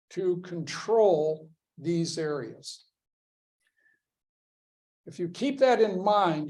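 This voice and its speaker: Male lecturer, slightly high voice, slow deliberate delivery, emphatic, rhetorical questions. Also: darkish tone. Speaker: history lecturer. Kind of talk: classroom lecture.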